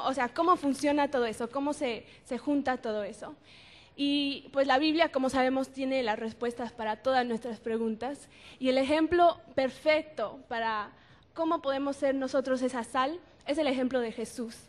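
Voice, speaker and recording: A young woman in her twenties from Mexico answering a panel question, explaining scripture earnestly and thinking aloud. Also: high-pitched.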